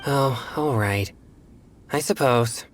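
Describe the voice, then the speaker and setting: A woman's voice: a bass voice, slow, a little hiss or hum. A woman voicing an earnest, plain-spoken, reluctant young man in a video game, given to mild grumbling. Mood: grudging.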